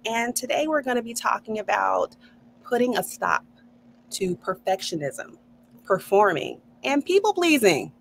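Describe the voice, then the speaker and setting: A woman's voice: fairly high pitch, big pitch swings, a little slow, vocal fry, a little hiss or hum. A middle-aged woman hosting a podcast on a video call, speaking in an affirming way and laughing often. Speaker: podcast host, neuro coach. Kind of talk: casual podcast conversation. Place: home office.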